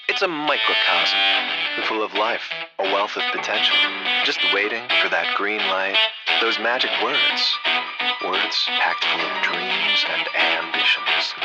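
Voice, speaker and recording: A man playing a grandiose, philosophical pitchman narrating a parody radio beer ad. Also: natural voice, poor audio. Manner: pompously philosophical. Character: smooth, grandiose male beer commercial pitchman. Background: guitar music.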